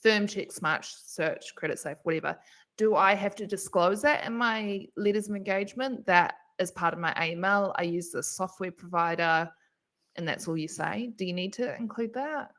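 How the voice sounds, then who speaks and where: A woman's voice: mid-range voice, normal speed, a bit bright. A woman with a New Zealand accent hosting a live question-and-answer webinar, chatty and friendly. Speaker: webinar host and moderator.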